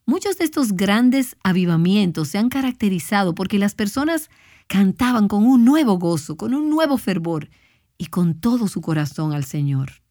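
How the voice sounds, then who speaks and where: Woman, Bible teacher, devotional teaching, earnest, exhorting, medium pitch, dry recording. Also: warm tone, no room sound. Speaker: Bible teacher, conference speaker.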